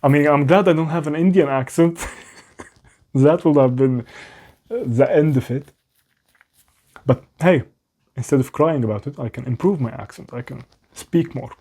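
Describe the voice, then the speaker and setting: A man's voice: on the high side, moderate pace, pauses often, darkish tone. A young man with a non-native English accent, rambling candidly to the camera in a small room at home, often mocking himself and restarting sentences. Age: young adult. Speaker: vlogger.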